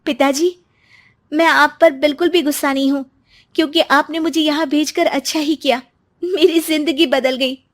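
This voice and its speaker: A woman voicing a kind, forgiving grown daughter in a cartoon moral story, gentle and earnest. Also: high-pitched, fairly even intonation, normal speed, voice pushed up, lightly acted. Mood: tender.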